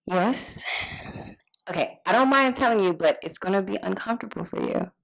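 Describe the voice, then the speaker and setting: Lively, creaky-voiced young woman character read by an actress. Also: mid-range voice, normal speed, rough recording. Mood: coy, hesitant. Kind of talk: live screenplay table read, acting.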